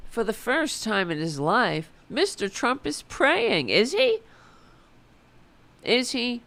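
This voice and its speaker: A woman with a raspy voice hosting a political commentary show, reading an article aloud and answering it with sarcastic, profane indignation. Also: fairly high pitch, very animated, takes it slowly, pauses often, a bit bright.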